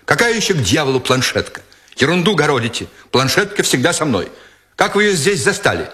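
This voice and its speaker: A man reading aloud voices a young army lieutenant who asks urgent questions and gives sharp orders. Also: a little high, big pitch swings, fairly quick, pitched above the performer's own voice. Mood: commanding. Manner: urgent, commanding, alarmed questions. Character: young male lieutenant, anxious and commanding.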